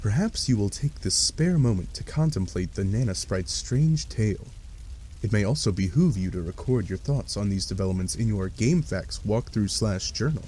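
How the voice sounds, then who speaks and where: A man's voice: on the low side, animated, moderate pace, warm tone, slight background noise, close to an everyday voice. A man playing a wry, exasperated game narrator, delivering scripted comic narration in a sardonic manner.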